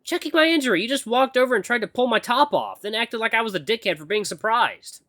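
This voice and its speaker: A man's voice: very high voice, no room sound, pitched above the performer's own voice, exaggerated voice. A man voicing a young apprentice runner who is flustered, sensitive and sweary, stammering and easily exasperated. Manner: stammering, expressive, quick to get exasperated.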